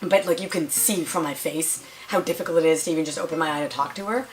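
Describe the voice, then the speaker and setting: A young woman with a raspy voice, chatting casually to camera as a vlogger and filling her stories with "like". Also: deep voice, fast talker, soft background music, low-quality recording. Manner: chatty, lots of "like" and quoted dialogue.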